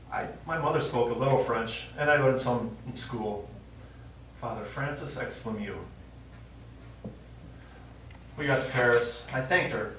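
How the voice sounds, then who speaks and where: An actor playing an elderly, stubborn widower and war veteran, reminiscing in a wry, rambling way in a stage play. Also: male voice, medium pitch, a bit bright, lightly acted. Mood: nostalgic. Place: small theatre stage, recorded from the audience. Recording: echoey small theatre, distant mic, faint noise.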